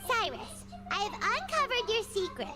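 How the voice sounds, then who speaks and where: A tiny fairy girl from a video game. Her voice is extremely high, childlike and squeaky, swinging in lively fashion over prominent background music. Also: moderate pace. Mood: reading dramatically. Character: small chirpy flying fairy companion girl.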